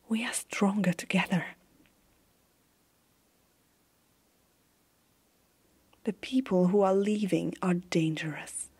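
A stern evil empress of a fantasy realm, acted by a woman in an ASMR roleplay. She speaks slowly and softly, with a dark, husky voice. Every phrase is deliberate, carrying a haunted zeal. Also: medium pitch. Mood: intense, resolute. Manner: slow, hushed, deliberate dramatic delivery.

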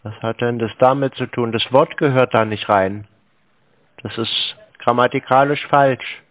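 A man talks over a thin, phone-like line with little room sound. He comes across as a pedantic, clueless customer, questioning details in a conversational way at a middle pitch. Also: steady tone, unhurried, no echo. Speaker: scam-baiter posing as a customer on a call. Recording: muffled narrow phone-like sound, dry.

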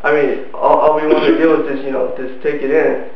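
A man's voice: fairly high pitch, flat delivery, brisk, gravelly, heavy reverb, lo-fi sound. A young man from Vietnam whose first language is Vietnamese, rambling with lots of fillers in a joking home-video interview. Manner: rambling, full of "you know" fillers.

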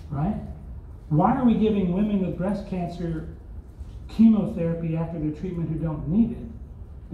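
An older Southern man delivers a keynote in a conversational, wry way, firing off rhetorical questions. His voice sits fairly high but sounds mellow. It booms around a large, echoey hall, captured from far back in the audience on a dull-sounding phone. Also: a little hiss or hum. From Arkansas, US. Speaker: keynote speaker. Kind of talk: conference keynote speech. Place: large hotel conference hall. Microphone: far phone camera in audience.

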